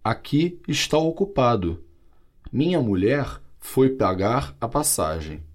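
A man with a Brazilian Portuguese accent reading vocabulary and dialogues for a language course, clear and carefully articulated. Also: medium pitch, sing-song, takes it slowly. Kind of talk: slow scripted language-lesson reading.

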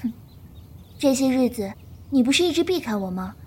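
A woman playing a gentle, affectionate young disciple and elder martial sister in a Chinese period audio drama, with a tender, coaxing manner. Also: high voice, lively intonation, moderate pace, slightly rough. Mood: teasing, puzzled.

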